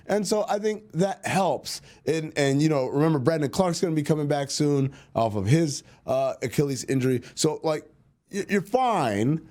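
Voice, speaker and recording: A man's voice: fairly high pitch, a little mellow. A man co-hosting a basketball podcast, chatting casually and teasing his co-host while he explains team finances in plain terms. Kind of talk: casual sports chat.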